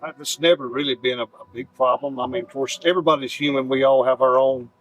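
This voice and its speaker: A man's voice: mid-range voice, fairly even intonation, fast, lo-fi sound. A man who leads a band and sings lead, answering interview questions in an easygoing way full of "you know". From northern Alabama. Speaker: band leader and lead singer.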